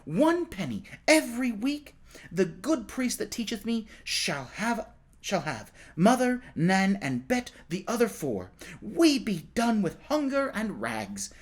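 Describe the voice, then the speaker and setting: A storyteller voicing an excitable poor young boy in a storybook reading, with a raised, eager voice. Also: slow. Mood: hopeful. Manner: bright, eager, high-pitched.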